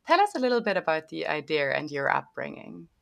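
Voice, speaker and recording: A woman's voice: sits low, very animated, brisk, creaky, fairly bright tone. A woman hosting an interview, introducing her guest and asking questions in a conversational way. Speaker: interview host.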